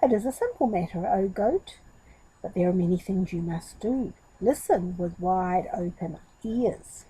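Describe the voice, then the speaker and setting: A woman's voice: on the low side, lively intonation, a little slow, warm tone, husky, heavily acted, low-quality recording. A woman voicing a knowing male monkey in a children's story, lecturing with dramatic deliberation.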